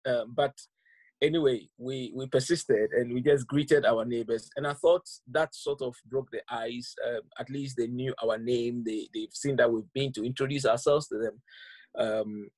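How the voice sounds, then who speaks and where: A middle-aged pastor from Ghana with a Ghanaian accent, telling his story in a measured, reflective way over a video call from home. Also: mid-range voice, fairly even intonation, a little mellow, no echo, rough recording. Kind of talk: personal testimony on a video call. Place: home room indoors.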